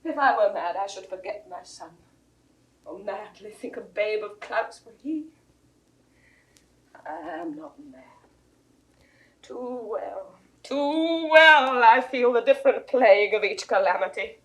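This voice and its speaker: An actress playing a grief-stricken widowed mother in a classical Shakespearean audio drama, with theatrical, declamatory delivery that swings between hush and wail. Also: medium pitch, animated, takes it slowly, long pauses, slight background noise, low-quality recording. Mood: anguished.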